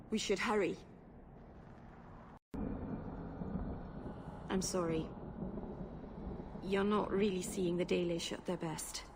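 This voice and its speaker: A woman's voice: medium pitch, background noise, close to an everyday voice. An actress voicing a shy young female elf mage in a video game, nervous, apologetic and prone to rambling.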